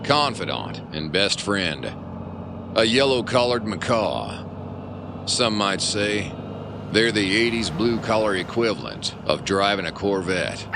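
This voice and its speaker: A man's voice: deep voice, background noise. A man playing a grandiose pulp adventure narrator in a comic audio drama, pompous and dramatic with a straight face. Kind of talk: mock-heroic scripted audio drama narration.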